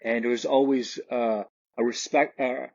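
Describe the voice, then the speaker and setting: A man's voice: mid-range voice, steady tone, normal speed, vocal fry, no room sound, muffled recording. A man speaking as a guest in an interview, hesitant and often restarting his sentences. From Canada. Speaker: guest, realtor and investor. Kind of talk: podcast interview conversation.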